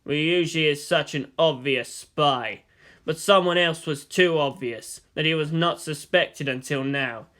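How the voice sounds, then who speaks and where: A man voicing a cold, menacing middle-aged commander and father in a story, contemptuous and deliberate as he threatens. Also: on the high side, fairly bright tone. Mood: accusing.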